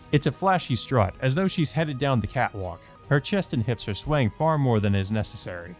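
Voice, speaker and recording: Man, medium pitch, visual novel narration, casual commentary, amused, sweary, background music. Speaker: let's play host.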